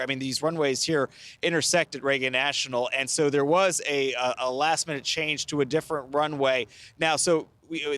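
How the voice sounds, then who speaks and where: Higher-pitched American male news correspondent, dry sound. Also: fairly bright tone, no room sound. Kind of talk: live news reporting. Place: outdoors by a river at night.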